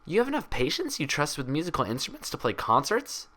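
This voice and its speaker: A man voicing an earnest, inquisitive female unicorn with a precise, measured, questioning manner, in a story reading. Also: fairly high pitch, wildly swooping pitch, sharp tone, voice pushed up, full character voice. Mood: surprised. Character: inquisitive, persistent young unicorn mare, sharp and earnest.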